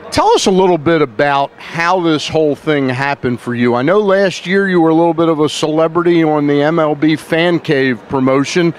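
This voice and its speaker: A man's voice: on the high side, normal speed, few pauses, a little hiss or hum. A man hosting a sports show, interviewing a guest on location at a ballpark. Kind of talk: sports interview and sponsor reads.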